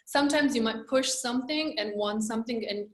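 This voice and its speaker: A woman's voice: on the high side, fairly quick, fairly bright tone. Reflective young woman in a roomy echo. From Romania. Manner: reflective, flowing, repeats words while thinking. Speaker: guest, energy healer and mindset coach. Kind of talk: casual interview conversation.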